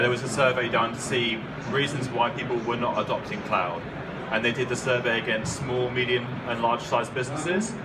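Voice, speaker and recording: An Australian man giving a conference talk, explaining in a plain way with frequent ums. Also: medium pitch. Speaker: conference speaker. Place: conference hall. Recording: distant mic, loud background hum.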